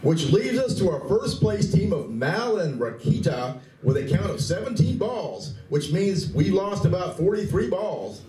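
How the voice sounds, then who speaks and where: A man's voice: high voice, very animated, unhurried, hardly any pauses, dark tone. A man playing a cheerful game coordinator in a radio play, announcing and giving play-by-play. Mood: amused, bemused. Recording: distant, echoey room with faint noise.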